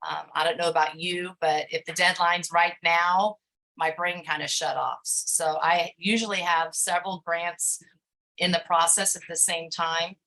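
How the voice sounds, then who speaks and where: A woman speaking on a video call panel about her grant work, halting with frequent fillers. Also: fairly low pitch, fairly even intonation, pushed and bright, poor audio. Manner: hesitant, many fillers.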